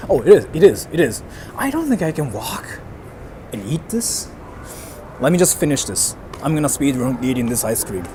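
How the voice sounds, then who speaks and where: A young man chatting casually on a live stream as he walks outdoors, rambling and reading out viewer comments, with street sounds behind him. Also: medium pitch. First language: bilingual English and Japanese. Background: faint street and traffic noise.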